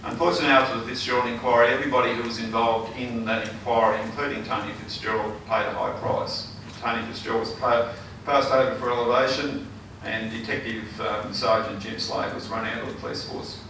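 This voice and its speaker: An older Australian man with a hoarse, failing voice, giving an earnest campaign speech full of ums and uhs. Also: mid-range voice, fairly even intonation, brisk, hardly any pauses, pushed and bright. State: hoarse, losing his voice. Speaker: election candidate. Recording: echoey hall, mic far off, noisy.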